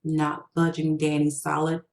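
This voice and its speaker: A woman narrates a piece of short fiction as its author. Her voice sits low for a woman. The sound is roomy, with noticeable echo around the words. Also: completely flat delivery, measured pace, darkish tone. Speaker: author reading own story.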